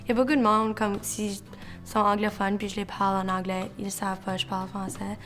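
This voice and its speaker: A woman's voice: mid-range voice, flat delivery, fairly quick, fairly bright tone, soft background music. A young woman with a Canadian French accent, answering casually and colloquially in an interview. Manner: colloquial, peppered with "comme".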